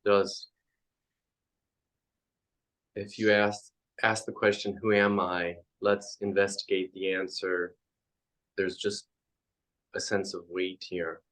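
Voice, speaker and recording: A man leading a guided meditation as a teacher, speaking in halting, reflective phrases with frequent pauses. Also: deep voice, little pitch movement, fairly bright tone.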